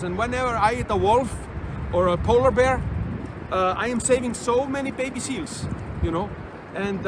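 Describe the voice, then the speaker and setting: A man from Iceland with an Icelandic accent, telling jokes in a playful, deadpan way as a troubadour. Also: very high-pitched, noisy background. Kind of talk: comic storytelling.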